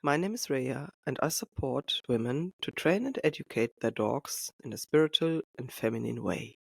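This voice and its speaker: A woman with a German accent hosting her own podcast. She tells her story in a slow, reflective monologue and often searches for words. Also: a bass voice, animated, creaky, husky. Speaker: podcast host and dog trainer.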